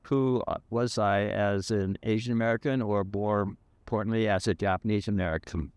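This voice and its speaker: Man, seventies, low-pitched, unhurried interview talk with fillers, dry close sound. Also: fairly even intonation, darkish tone, clean tone, no room sound. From California. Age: 70s. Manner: thoughtful, hesitant with frequent fillers. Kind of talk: reflective interview.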